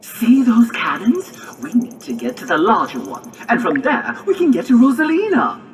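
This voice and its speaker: A woman voicing a theatrical, brash and excitable hero creature in a video game, with dramatic, boastful, wordy delivery. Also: a little high, moderate pace, distant mic, a little hiss or hum. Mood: determined. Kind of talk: scripted cartoonish game cutscene acting.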